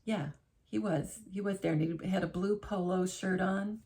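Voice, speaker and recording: A woman's voice: mid-range voice, fairly even intonation, normal speed, mellow. A middle-aged woman vlogging from her living room armchair, chatting casually to camera in a rambling way, often restarting and correcting herself. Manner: rambling, self-correcting, conversational.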